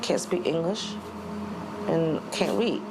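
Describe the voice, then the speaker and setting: A woman's voice: sits low, slow pace, background noise. A woman who directs a nonprofit, speaking firmly and earnestly about the people she serves. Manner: firm, earnest advocacy. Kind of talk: documentary interview.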